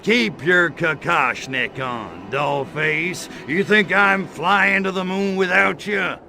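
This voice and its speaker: Cocky, drawling alien wisecracker. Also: male voice, sits high, slow pace, creaky, pushed and bright, soft background music, full character voice. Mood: cocky taunting. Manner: sarcastic, brash, drawling. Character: cocky wisecracking male alien invader, crude and flirty. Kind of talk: video game cutscene acting.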